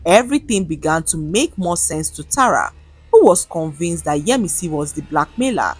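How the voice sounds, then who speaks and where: A woman with a Nigerian accent narrating a scripted story in an expressive, dramatic manner. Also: fairly low pitch, moderate pace, slightly rough, no room sound, soft background music.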